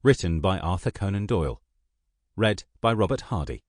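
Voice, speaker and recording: Deep, husky male credits announcer. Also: animated, moderate pace, no echo, studio quality. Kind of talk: audiobook opening credits.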